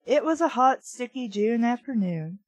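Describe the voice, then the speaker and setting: A woman's voice: a little high, sing-song, measured pace, breathy edge, dry recording. A woman reading a book aloud casually, breaking off into snarky, rambling, conversational asides. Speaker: host reading a children's book aloud with commentary.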